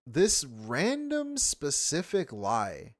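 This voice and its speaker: A man's voice: fairly high pitch, wildly swooping pitch, drawn-out words. A man streaming live, reacting to videos with sarcastic, profane heckling and mocking commentary.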